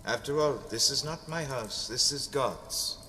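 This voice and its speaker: A man voicing a kindly, devout old priest who speaks in a gentle, measured, welcoming way. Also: medium pitch, in a large hall, slight background noise, pitched above the performer's own voice. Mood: gentle, reassuring.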